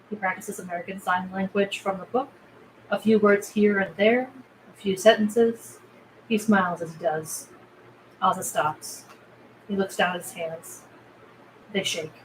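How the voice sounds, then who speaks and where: A woman's voice: medium pitch, rough recording. A woman narrator reading screenplay stage directions aloud at a table read. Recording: faint background noise.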